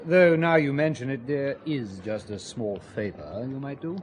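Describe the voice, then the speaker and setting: A man playing a smooth, evasive intelligence go-between in an audio drama, formal and understated as he persuades. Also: mid-range voice, normal speed, background noise, natural voice. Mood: smooth, coaxing.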